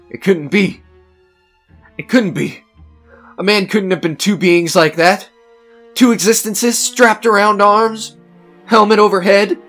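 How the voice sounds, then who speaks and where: An actor playing a tormented, unhinged man recounting his own doom with halting intensity in a radio suspense drama. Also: mid-range voice, natural voice. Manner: intense, halting, theatrical, repeating phrases.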